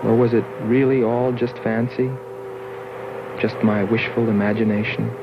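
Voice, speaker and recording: An actor voicing a wistful explorer in an earnest, musing voice-over for a 1960s science fiction film. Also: male voice, mid-range voice, flat delivery, moderate pace, lightly acted. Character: wistful male explorer narrating his longing for contact. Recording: muffled old film soundtrack, noisy background.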